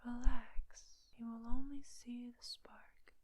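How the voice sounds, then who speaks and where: A woman playing a soothing, seductive witch who hypnotizes in a soft, breathy whisper, in an ASMR roleplay style. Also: on the high side, little pitch movement. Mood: soothing, hypnotic. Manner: slow, soothing, coaxing.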